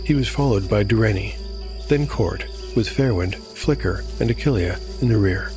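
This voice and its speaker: A man's voice: lowish voice, moderate pace, over music. A man working as solo game master, narrating a scripted fantasy audio drama and commenting on the game.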